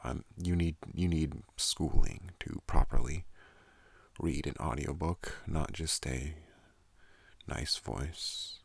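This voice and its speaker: A young man hosting an ASMR channel, speaking softly and calmly close to a studio microphone, slipping into whispers. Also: no echo. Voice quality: deep gravelly soft voice. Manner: slow, calm, partly whispered. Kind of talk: soft-spoken ASMR talk.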